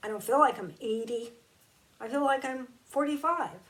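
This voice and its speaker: A woman gives a reflective spiritual talk and leans on key words at an unhurried pace. Her voice sits a little away from the microphone. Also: sits high, fairly bright tone, far from the mic, rough recording. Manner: slow, emphatic and conversational, drawing out key words. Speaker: spiritual teacher.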